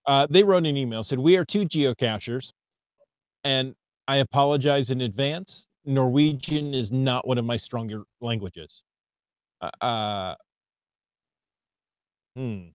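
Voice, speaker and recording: A man's voice: medium pitch, a little slow, pauses often, no room sound. An American man co-hosting a casual hobby podcast, reading listener emails aloud and stumbling over foreign words. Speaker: podcast co-host.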